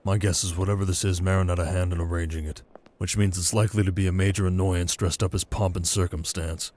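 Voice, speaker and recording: Man acting a low-voiced, guarded merchant baron. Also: steady tone, quick pace, a little mellow, slight background noise, natural voice. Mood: wary, dry. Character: shrewd, wary merchant baron, secretly ruthless husband. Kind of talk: ASMR audio roleplay acting.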